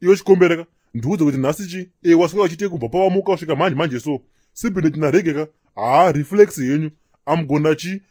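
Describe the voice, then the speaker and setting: A man's voice: fairly high pitch, quick pace, darkish tone, slightly rough, no echo, studio quality. A man voicing a stern, swaggering Zimbabwean police officer who blusters and interrogates in a comedy cartoon. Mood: bossy, demanding.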